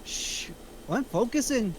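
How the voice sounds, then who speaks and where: A man's voice: extremely high pitch, animated, takes it slowly, slight background noise, heavily acted. A man playing an immature, cocky, foul-mouthed slacker in a script reading, casual and dismissive. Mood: dismissive, absorbed. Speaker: actor at a table reading.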